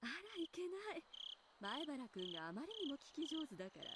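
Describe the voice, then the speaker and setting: A woman's voice: high voice, big pitch swings, rapid-fire, heavy background noise. A voice actress playing a sweet-spoken woman in a Japanese visual novel, politely teasing and relishing gruesome legends like a storyteller. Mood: playful. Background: music and cricket chirps.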